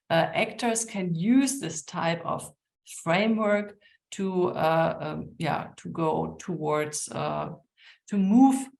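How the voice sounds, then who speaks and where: Woman panelist, slow and low, hesitant delivery, roomy echo. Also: vocal fry. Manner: halting, many fillers and restarts. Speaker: panel speaker. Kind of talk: online panel talk.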